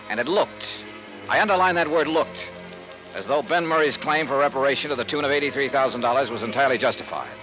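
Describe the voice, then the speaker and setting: A man's voice: mid-range voice, lightly acted. An actor playing a brash, shrewd male insurance investigator in a 1950s radio drama, with a wry, clipped, hard-boiled delivery. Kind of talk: 1950s radio drama acting with first-person narration. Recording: muffled 1950s radio recording with music.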